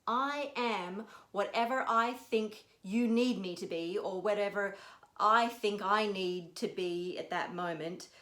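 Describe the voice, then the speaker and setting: A woman from Australia with a softened Australian accent, performing a lyrical, reflective personal essay at a live reading. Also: mid-range voice, slow. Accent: Australian, softened by years in America. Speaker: storyteller. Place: bookshop café event room. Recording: echoey room.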